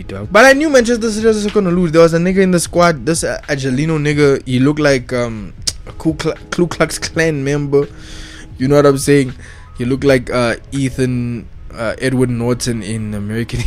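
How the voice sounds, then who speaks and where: Slangy, excitable young man ranting. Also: medium pitch. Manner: slangy, excitable, swears a lot, repeats himself. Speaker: football vlogger. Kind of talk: casual football rant.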